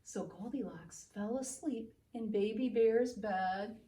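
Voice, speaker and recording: A woman's voice: on the high side, slow pace, warm tone, recorded from a distance. A woman preschool teacher telling a children's story with exaggerated expression, speaking directly to young listeners. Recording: roomy, away from microphone.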